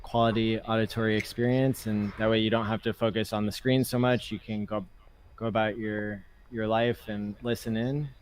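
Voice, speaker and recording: A man hosting a podcast who talks in a measured way and prompts his guest with questions. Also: on the low side, little pitch movement. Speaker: podcast host. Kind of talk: casual discussion. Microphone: studio microphone.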